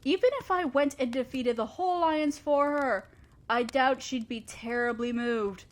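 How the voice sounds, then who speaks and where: A haughty evil queen from a fantasy audio roleplay, acted out by a woman. Her voice sits on the high side for a woman. She addresses her underling with imperious, theatrical authority, as a ruthless sorceress guarding her empire. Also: normal speed, lightly acted. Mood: imperious, impatient. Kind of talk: scripted one-sided fantasy audio roleplay.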